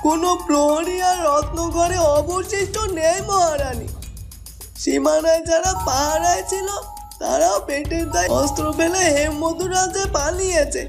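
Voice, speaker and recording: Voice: very high voice, normal speed, music in the background. A performer playing a timid, starving male royal servant in a cartoon, pleading and deferential. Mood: despairing.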